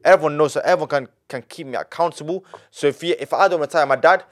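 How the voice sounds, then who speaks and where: A nineteen-year-old man, a podcast guest telling his story in an animated way, full of repetition and street slang. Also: a little high, fast talker. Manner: animated, repeats himself, heavy street slang. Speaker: young entrepreneur guest.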